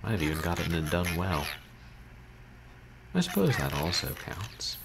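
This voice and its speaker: A man's voice: low-pitched, sing-song, a little slow, vocal fry. A man in his thirties chatting casually to livestream viewers as host, wry and self-deprecating, with wordy phrasing. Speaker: livestream host, video game streamer. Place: small bedroom, indoors. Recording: noisy home webcam stream.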